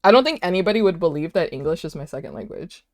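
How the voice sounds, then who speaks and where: Animated, chatty woman streamer. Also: lowish voice, fast. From United States. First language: Vietnamese. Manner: animated, chatty, laughs often, many "like" fillers. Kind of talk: casual livestream chat, reading chat aloud.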